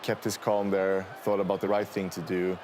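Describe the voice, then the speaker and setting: A man's voice: sits low, level tone, moderate pace, background noise. A young man from Sweden, a non-native English speaker, answering interview questions in a hesitant way with many fillers. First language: Swedish. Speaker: fighter interviewee.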